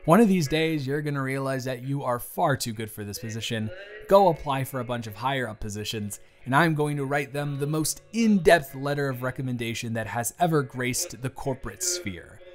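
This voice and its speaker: A man's voice: mid-range voice, brisk, lightly acted. A man playing a fond, chatty office boss in his early thirties in a romantic audio roleplay, speaking earnestly and playfully straight to the listener. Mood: admiring, teasing. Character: warm, earnest thirty-three-year-old male office boss, fond and encouraging. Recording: background music. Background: music.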